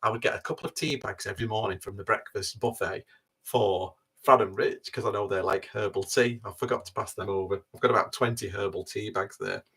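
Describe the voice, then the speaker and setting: A man with a Wigan accent chatting on a livestream from a narrowboat, animated and expressive. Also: lowish voice, brisk, husky. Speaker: vlogger. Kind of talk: casual livestream chat. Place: inside a narrowboat.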